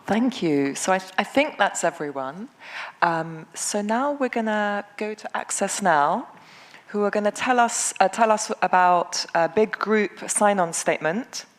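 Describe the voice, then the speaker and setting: A woman from England, moderating a conference panel in a brisk, friendly way with frequent ums. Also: mid-range voice, lively intonation. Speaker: session moderator.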